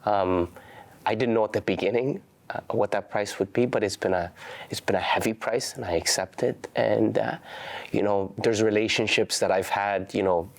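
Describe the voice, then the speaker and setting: A young man whose first language is Farsi, giving earnest, halting interview answers full of restarts. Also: on the low side, vocal fry. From Canada. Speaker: activist guest.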